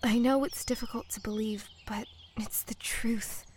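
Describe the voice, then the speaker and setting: An actress playing an earnest, pleading mermaid in human form in an audio drama, speaking softly and hesitantly, often near a whisper. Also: female voice, a little high, fairly even intonation, unhurried, slight background noise, natural voice. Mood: pleading, tender. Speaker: voice actor.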